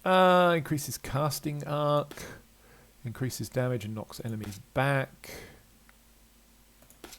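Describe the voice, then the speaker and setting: An older man giving low-key, terse, matter-of-fact commentary while playing a video game. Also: lively intonation, takes it slowly, pauses often, a bit bright.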